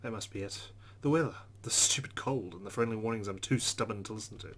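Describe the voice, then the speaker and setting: Low-voiced man reading a game story. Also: fast, a bit bright. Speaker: let's play host reading a visual novel aloud. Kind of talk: narration with asides.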